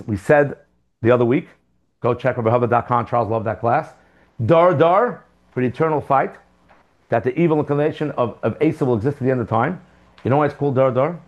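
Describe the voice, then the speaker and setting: A man teaching a Torah class to students in a classroom, speaking at a middle pitch. He is animated, asking rhetorical questions and jumping suddenly in pitch and loudness to press each point. His pace shifts between quick runs and slow, stressed words. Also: dull audio. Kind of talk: lively Torah class lecture.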